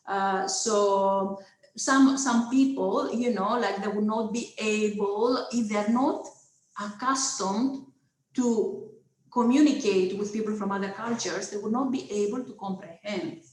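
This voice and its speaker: A woman's voice: mid-range voice, measured pace, reverberant, low-quality recording. A woman with a Greek accent giving an online lecture over a video call, hesitant, with many filler sounds, checking in with her listeners. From Greece.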